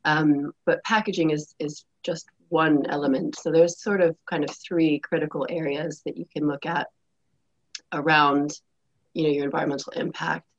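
A woman's voice: sits low, steady tone, moderate pace, dull audio. A woman speaking on a panel about sustainability, explaining at length with many fillers while losing her voice and fighting a cough. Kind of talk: panel discussion.

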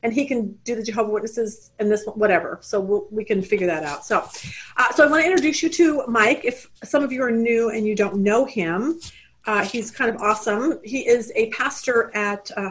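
A woman's voice: medium pitch, brisk. A woman hosting a Bible study webinar over a video call, chatty and rambling, with lots of fillers and restarts.

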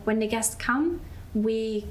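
A young woman from Belgium, a mission school student, answering interview questions in an unhurried way and often pausing to find her words. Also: fairly high pitch, a little mellow, slight background noise, rough recording. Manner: unhurried, searching for words, restarts.

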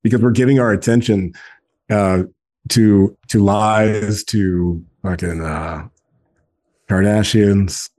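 A man's voice: on the low side, steady tone, slow pace, darkish tone. A man in his forties speaking earnestly in a casual podcast conversation, often repeating words for emphasis. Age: 40s. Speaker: guest, men's group co-founder.

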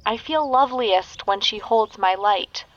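A woman voicing the moon as a gentle, lovestruck female in a spoken fairy tale, with slow, earnest storybook telling. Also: mid-range voice, muffled recording, lightly acted.

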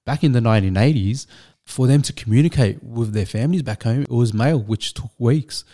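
A man, a Vietnamese speaker, telling his story as a guest in a measured, thoughtful way with frequent restarts. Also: mid-range voice, mellow. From Australia. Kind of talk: reflective storytelling.

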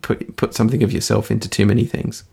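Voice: mid-range voice, flat delivery, fast, gravelly, darkish tone, husky. A podcast host interviewing conversationally, tentatively rephrasing questions along the way.

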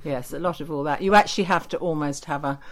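A woman's voice: deep voice, fast. An older woman, a radio drama producer and director, chatting frankly and playfully as an interview guest. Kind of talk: anecdotal interview chat.

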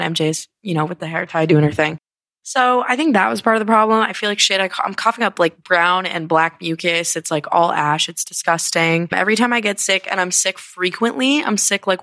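A woman's voice: fairly low pitch, fairly bright tone, no echo. Sniffly young woman rambling on a podcast. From Connecticut, US. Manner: candid, rambling, heavy on fillers and swearing. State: sniffly, congested. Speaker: podcast host. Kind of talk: casual solo podcast talk.

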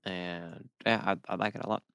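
A man co-hosting a music podcast, enthusiastic and blunt, swearing casually in relaxed chat. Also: sits low, moderate pace, creaky, no room sound. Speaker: podcast co-host.

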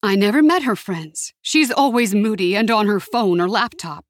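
A woman's voice: medium pitch, normal speed, dry recording, studio quality, natural voice. A woman voicing an anxious older aunt from Mexico, with a trembling, pleading manner and a touch of disapproval. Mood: worried.

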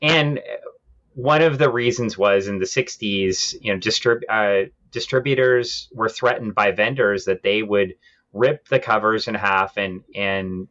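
Low-voiced man podcasting, slightly muffled. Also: a little slow, a bit bright. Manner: explanatory, conversational. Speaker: podcast host. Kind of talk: casual discussion of comic book history.

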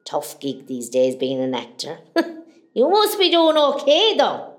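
A woman acting a nosy, warm-hearted older Irish mother from West Cork in a radio play, chatty and exclamatory. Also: mid-range voice, wildly swooping pitch, unhurried. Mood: chatty, curious.

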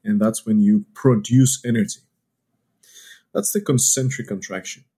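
A man from Greece with a Greek accent, a scientist guest calmly explaining things step by step in an interview. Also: lowish voice, moderate pace, breathy edge. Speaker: podcast guest, exercise scientist.

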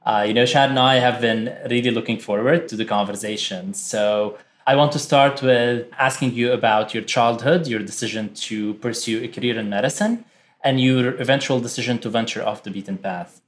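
A man's voice: medium pitch, steady tone, moderate pace. A male podcast host reading a guest introduction, then welcoming the guest with a few filler words. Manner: reads a formal bio, then speaks with filler words. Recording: music bed under voice.

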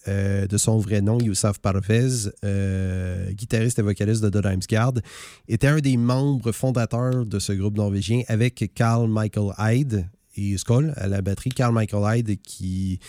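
Low, mellow radio host. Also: male voice, no room sound, studio quality. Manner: chatty, self-correcting, with small chuckling asides. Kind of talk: casual music radio presenting. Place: radio studio.

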